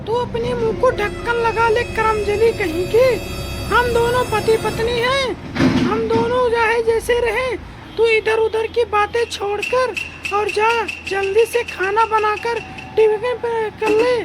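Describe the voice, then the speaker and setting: A woman's voice: extremely high pitch, level tone, a little slow. A woman playing a bossy older village mother-in-law who nags and insults her daughter-in-law in a comic sketch. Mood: nagging. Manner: nagging, insulting, commanding. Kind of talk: comic family sketch acting. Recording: loud backing music.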